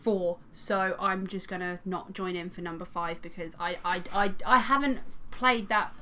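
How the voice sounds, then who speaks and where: A woman's voice: on the low side, steady tone, normal speed, bright, forceful tone, a little hiss or hum. A woman chatting casually as a podcast panellist, in a jokey, self-deprecating and sweary way. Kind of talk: casual chat.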